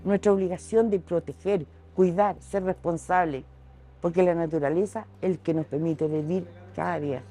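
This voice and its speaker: A woman's voice: lowish voice, steady tone, brisk, warm tone. A woman making an earnest statement for a television feature.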